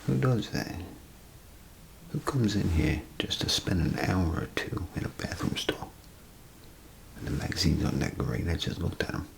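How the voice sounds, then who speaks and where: Man, ASMR role play, very low crackling voice, half whisper, rambling folksy bathroom attendant. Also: sing-song, normal speed. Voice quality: gravelly, breathy half-whisper. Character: chatty, grumbling male bathroom attendant, down on his luck. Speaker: ASMR performer.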